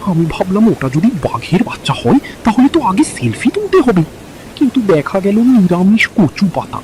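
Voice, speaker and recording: An actor playing a boastful, playful village uncle in a cartoon, teasing and bragging. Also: male voice, sits high, huge pitch swings, brisk, darkish tone, slight background noise, rough recording. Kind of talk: cartoon story acting.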